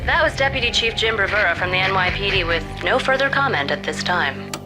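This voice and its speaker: A woman's voice: medium pitch, lively intonation, brisk, lightly acted. A woman as a formal American TV news reporter. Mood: formal, urgent. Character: composed female television news reporter. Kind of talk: scripted TV news bulletin in a video game. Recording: loud music behind the voice.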